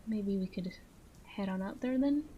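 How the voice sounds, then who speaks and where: A woman performs a seductive female crime boss in an intimate audio roleplay. Her voice is mid-pitched and mellow, with coy, teasing, self-assured phrasing. Some background noise sits under the recording. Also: highs cut off, natural voice. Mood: coy flirtation. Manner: coy, teasing, drawn-out phrasing with breathy asides. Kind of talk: roleplay audio drama, addressed to listener.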